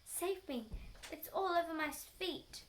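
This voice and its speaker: A woman's voice: very high-pitched, slow, slight background noise, voice pushed up, low-quality recording. A woman voicing a cranky, suspicious old wife in a storybook, dramatic and exclamatory. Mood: panicked.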